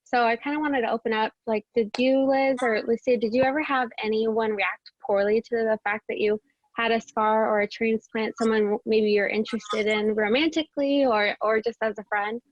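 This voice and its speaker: A chatty woman co-host talks in a somewhat high voice, pausing on fillers and laughing easily. Her audio is dull and dry, like a lo-fi video call. She is at home in San Francisco. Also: steady tone, no echo. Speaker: podcast co-host. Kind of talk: casual video-call chat.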